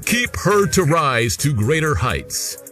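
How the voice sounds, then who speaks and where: A man's voice: a little high, big pitch swings, takes it slowly, a bit bright. A man hosting an event, giving a formal scripted introduction in a ceremonious announcer manner. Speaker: event host introducing a speaker. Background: music.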